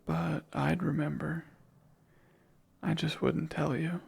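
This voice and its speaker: A man voicing a possessive male sleep paralysis demon in an ASMR roleplay, whispering slowly and teasingly in a gravelly, breathy voice. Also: fairly high pitch, level tone, heavily acted. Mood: taunting. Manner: hushed close whispering, slow and teasing.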